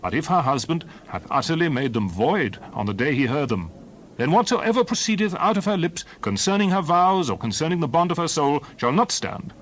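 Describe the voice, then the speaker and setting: A man's voice: fairly high pitch, very animated, a little fast, slight background noise. A man playing Moses as a stern, authoritative lawgiver, declaiming emphatically in a dramatized scripture reading. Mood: solemn instruction.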